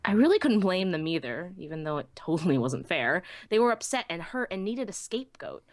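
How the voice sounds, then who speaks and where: Woman as young female pony narrator, lowish voice, anxious, earnest, expressive dramatic story reading, dry close sound. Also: a little fast, no echo. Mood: anxious, resigned. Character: small young female pony technician, anxious lonely first-person narrator.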